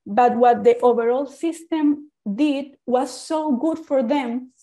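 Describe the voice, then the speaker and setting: A woman from Venezuela speaks English with a Venezuelan Spanish accent. Her voice sits fairly high and has a warm, mellow tone. She presents earnestly and informally, often restarting and repeating words as she goes. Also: slow, poor audio. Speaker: speaker on usability testing.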